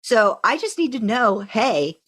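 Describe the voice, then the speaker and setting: A woman co-hosting a podcast in a chatty, informal, animated way. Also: on the high side, a little slow, husky. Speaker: podcast co-host. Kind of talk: casual podcast chat.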